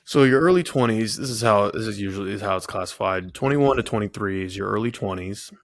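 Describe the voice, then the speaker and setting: A man's voice: fairly low pitch, quick pace, dry recording. A man aged twenty-seven co-hosting a casual podcast, playful and teasing. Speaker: podcast co-host, football player. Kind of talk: casual chat.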